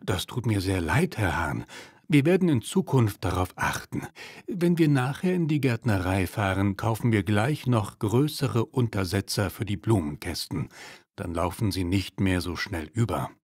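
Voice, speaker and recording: Obliging male neighbour, acted by a man, polite, animated intonation, dry sound, language-lesson dialogue. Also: lowish voice, normal speed, no echo. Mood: apologetic. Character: friendly, obliging married male neighbour who apologises readily.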